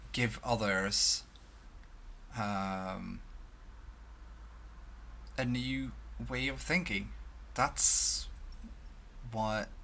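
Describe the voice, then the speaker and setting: Young man, Swedish native speaker, vlog to camera, halting with fillers, faint hiss behind. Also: medium pitch, pushed and bright, low-quality recording. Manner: halting, many restarts and fillers. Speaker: vlogger. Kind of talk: casual talk to camera. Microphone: headphones, desk mic at webcam.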